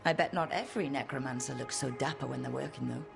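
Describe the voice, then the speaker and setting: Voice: mid-range voice, fast talker, creaky. An actor playing an easygoing, wry adventurer in a video game, speaking casually and plainly. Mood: wry, friendly. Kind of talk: video game dialogue acting. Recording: music behind the voice.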